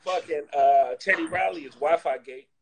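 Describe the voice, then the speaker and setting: A man in casual, comedic chat, talking in an animated, slangy, profane joking way. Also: a little high, moderate pace, vocal fry.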